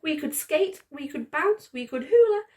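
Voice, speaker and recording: A woman's voice: sits high, expressive, moderate pace, a little mellow, distant mic, voice pushed up. A woman voicing a small, earnest, wistful male sock in a children's picture book, with a plaintive manner. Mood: wistful, sad.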